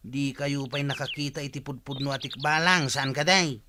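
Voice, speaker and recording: An actor playing a skeptical, scolding village companion in a radio comedy-drama, dismissive and needling. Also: male voice, mid-range voice, normal speed, pushed and bright, slight background noise, natural voice.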